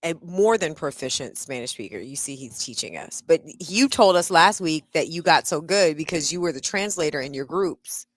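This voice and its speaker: A woman hosting a casual language club chat, lively and quick to laugh, repeating words to practice them. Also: deep voice, no echo. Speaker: host.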